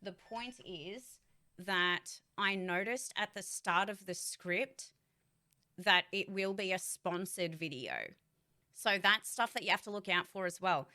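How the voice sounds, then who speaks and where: Woman vlogger, low-pitched and forceful; candid, swears, rambly with restarts. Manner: candid and blunt, swears freely; rambling with many fillers and restarts. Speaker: voice actor giving career advice. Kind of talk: casual vlog monologue.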